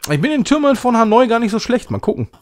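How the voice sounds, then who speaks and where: A man's voice: extremely high pitch, big pitch swings, fast talker. A man giving casual live game commentary as a streamer, thinking aloud in short muttered phrases. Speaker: streamer playing a retro puzzle game.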